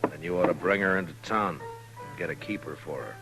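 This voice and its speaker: An actor playing a stern, steady frontier marshal in a 1950s radio western, terse and dry in his delivery. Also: deep voice, brisk, over music. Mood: stern, admonishing. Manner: terse, firm, deadpan. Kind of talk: 1950s radio drama acting.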